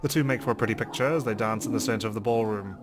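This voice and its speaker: A man hosting a let's play, reading a visual novel aloud in a measured, theatrical way. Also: fairly low pitch. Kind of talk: dramatic read-aloud narration of a visual novel with commentary.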